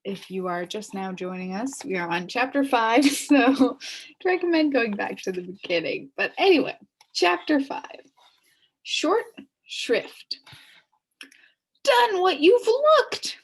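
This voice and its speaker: A woman reading a children's chapter book aloud as an expressive story narrator. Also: mid-range voice, a little slow, husky, poor audio. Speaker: storybook reader.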